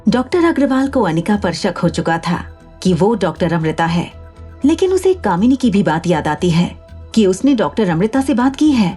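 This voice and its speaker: A female narrator tells a scripted audio drama. Her voice is dark, mellow and husky, with faint music playing behind. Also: mid-range voice, sing-song, moderate pace.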